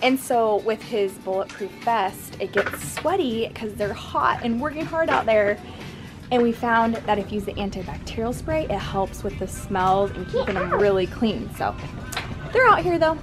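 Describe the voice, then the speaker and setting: A woman's voice: a little high, normal speed, over loud music. A woman in her thirties chatting casually while she films her grocery shopping in a large store, reading out prices. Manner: chatty, animated, pricing items aloud. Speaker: family vlogger. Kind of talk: casual grocery haul vlog. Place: large store aisle indoors. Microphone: handheld camera at arm's length.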